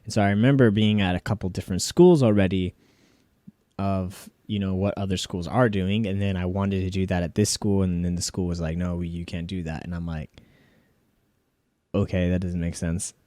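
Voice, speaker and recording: Male vlogger, lower register, casual reaction commentary with easy chuckles, dry recording. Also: steady tone, moderate pace, warm tone, no echo. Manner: laughs readily while reminiscing. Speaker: vlogger reacting to own old videos.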